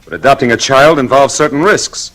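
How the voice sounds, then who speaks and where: A man playing a firm, old-fashioned husband in 1940s radio drama style, insistent and husky. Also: medium pitch, brisk, fairly bright tone, close to an everyday voice, low-quality recording. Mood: firm, exasperated. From United States. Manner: insistent, emphatic.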